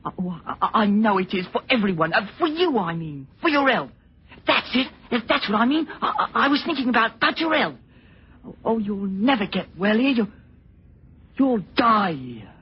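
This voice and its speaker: A man's voice: sits high, big pitch swings, normal speed, slightly rough, exaggerated voice. An actor playing a nervous, guilt-ridden young British man in an old radio drama, stammering and hesitating as he speaks. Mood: agitated pleading. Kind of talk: 1940s radio drama acting. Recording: muffled old radio recording.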